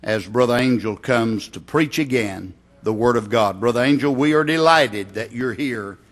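Man giving a slow pulpit welcome. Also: medium pitch. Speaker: church host introducing the preacher.

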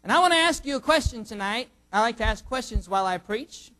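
High-voiced joking young preacher. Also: male voice, animated, quick pace, low-quality recording. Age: under 45. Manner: jokey, self-teasing, surging loud emphasis. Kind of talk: sermon with prayer.

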